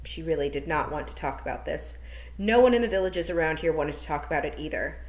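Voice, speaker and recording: A woman's voice: low voice, quick pace. A woman teacher reading a novel aloud to children in a storytelling manner. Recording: roomy echo.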